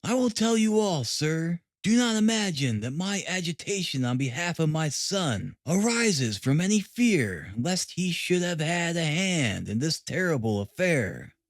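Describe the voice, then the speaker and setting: A man's voice: on the high side, very animated, a little slow, hardly any pauses, no echo, clean studio sound, pitched above the performer's own voice. A man voicing a distressed, fearful mother in an audiobook, pleading in formal words. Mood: agitated pleading.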